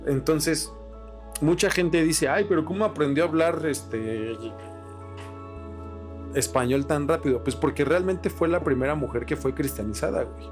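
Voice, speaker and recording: A man's voice: fairly high pitch, normal speed. A Mexican man hosting a podcast, explaining things casually with slang and swearing. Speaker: podcast host. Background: music with guitar.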